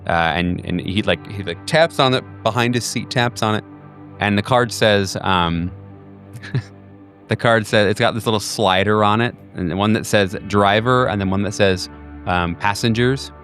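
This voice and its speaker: Low-voiced rambling game master. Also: male voice, normal speed, fairly bright tone, faint music behind. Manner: rambling, filler-heavy, with many ums and uhs. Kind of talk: narrating a tabletop horror game.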